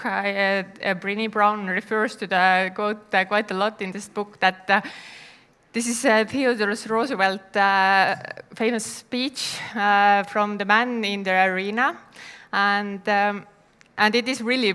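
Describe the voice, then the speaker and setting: Woman founder on stage, earnest and halting, bright smooth tone. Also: mid-range voice. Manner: earnest, halting with many fillers. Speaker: startup founder giving a talk. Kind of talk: conference talk. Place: conference stage.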